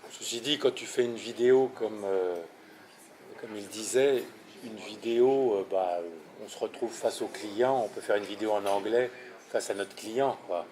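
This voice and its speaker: A man's voice: lowish voice, normal speed, warm tone. Male moderator, casual, interjecting, conversational. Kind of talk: group discussion.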